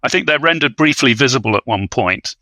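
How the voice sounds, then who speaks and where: A man talks about film as a thoughtful guest commentator. His voice is bright and forward, recorded very dry. He is scholarly and hesitant, often halting as he builds a point. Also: medium pitch, lively intonation, no echo.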